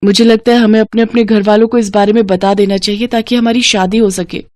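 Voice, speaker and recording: A woman's voice: medium pitch, fairly even intonation, a little fast, a little mellow, dry recording, close to an everyday voice. Woman voicing a headstrong lovestruck schoolgirl, dry sound. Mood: anxious. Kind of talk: dramatized Hindi moral story acting.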